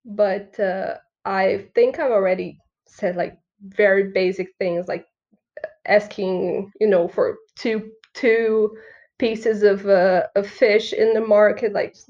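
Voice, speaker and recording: A woman's voice: mid-range voice, fairly even intonation, slow pace, a little mellow, highs cut off. A young woman from Brazil, a Portuguese speaker, chatting casually as a student guest on a podcast. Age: young adult. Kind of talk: casual chat.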